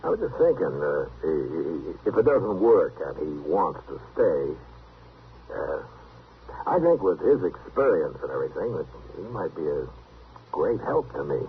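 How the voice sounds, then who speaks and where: An American man acting a steady, dryly amused frontier marshal in an old-time western radio drama, unhurried and a little hesitant. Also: deep voice, husky, exaggerated voice. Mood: thoughtful, obliging. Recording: muffled, noisy vintage radio recording.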